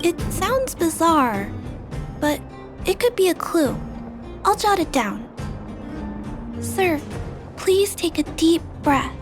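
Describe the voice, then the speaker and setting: A woman voicing an earnest, polite young girl in a video game, speaking gently and carefully. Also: very high-pitched, sing-song, slow pace, pauses often, exaggerated voice. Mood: thoughtful, puzzled. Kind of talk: animated video game voice acting. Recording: music behind the voice.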